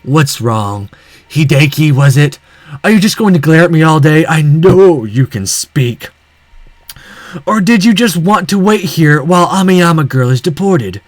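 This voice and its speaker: Man putting on a slow, sneering older gangster villain. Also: on the high side, lively intonation, no echo. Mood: mocking. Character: callous older male yakuza villain, sneering and taunting. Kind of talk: visual novel character voice.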